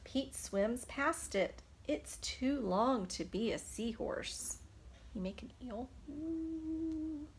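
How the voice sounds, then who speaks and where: A woman's voice: high-pitched, animated, takes it slowly, a little hiss or hum. A woman preschool teacher reading a picture book aloud, expressively, putting simple questions to young children. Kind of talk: children's storytime read-aloud.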